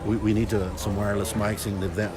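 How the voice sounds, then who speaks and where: A middle-aged man hosting a casual livestream of a football scrimmage, loud, talkative and joking. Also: on the low side, flat delivery, quick pace. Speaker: livestream sports host. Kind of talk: casual sports commentary chat. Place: outdoors at a football field. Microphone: phone camera. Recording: crowd noise at outdoor game. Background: crowd at a game.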